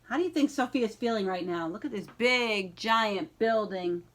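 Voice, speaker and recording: A woman reading a children's picture book aloud in an expressive storytelling style, addressing young listeners directly. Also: mid-range voice. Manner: animated, pausing to ask young listeners questions.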